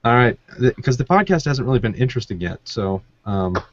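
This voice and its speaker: A man's voice: mid-range voice, sing-song, a little fast, muffled recording, a little hiss or hum. A man hosting a podcast in a casual, chatty way with a dry, teasing sense of humour. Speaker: podcast host. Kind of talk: casual chat.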